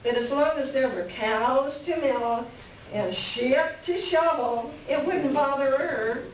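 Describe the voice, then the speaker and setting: Woman reading the part of a lonely farm wife, plain-spoken, unhurried, stage play reading, very roomy sound, faint noise. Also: high voice. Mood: resigned, frustrated. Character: lonely, frustrated farm wife aching to be appreciated. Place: library reader's theater reading. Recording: echoey room from far off, faint noise.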